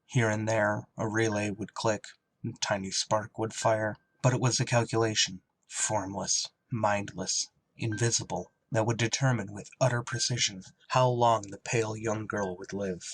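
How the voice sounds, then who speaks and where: A man playing a blunt, solemn spaceship pilot who also narrates a radio drama, deliberate and terse, with buried feeling. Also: fairly low pitch, steady tone, a little hiss or hum, lightly acted. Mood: brooding narration. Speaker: actor and first-person narrator.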